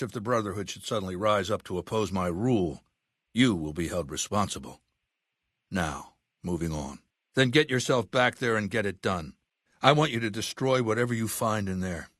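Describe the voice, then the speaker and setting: A ruthless male warlord from a video game, performed by a male actor, with a lowish voice in a very dry recording. He speaks deliberately and with imperious command. His sardonic, menacing manner makes it clear he expects to be obeyed. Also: no echo, close to an everyday voice. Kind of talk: video game character dialogue.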